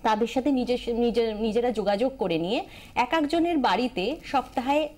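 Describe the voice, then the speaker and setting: A woman's voice: on the high side, normal speed, a little mellow. A woman with an Indian Bengali accent giving explanatory advice as a TV expert guest, emphatic, repeating key phrases and dropping in English terms.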